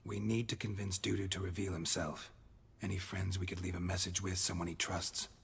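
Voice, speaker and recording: An actor playing a stoic, world-weary monster hunter in a video game, speaking tersely in a gruff, deadpan voice. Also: male voice, low-pitched, fairly quick, slight background noise. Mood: businesslike. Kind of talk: video game cutscene dialogue.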